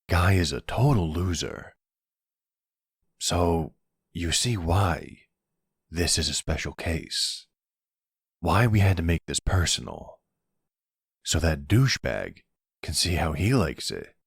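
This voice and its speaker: A man's voice: no echo, clean studio sound, heavily acted. A man playing a weary, exasperated but courteous mafia boss in an ASMR audio roleplay, with wry, colloquial delivery. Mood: wry, bitter. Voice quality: deep, smooth, gravelly creak. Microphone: close, dry microphone.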